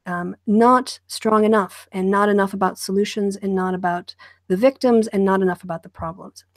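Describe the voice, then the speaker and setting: A woman host leads a live online discussion in a measured, deliberate manner. Her pitch sits in the middle for a woman, and the sound is dry with little room to it. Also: darkish tone, no echo.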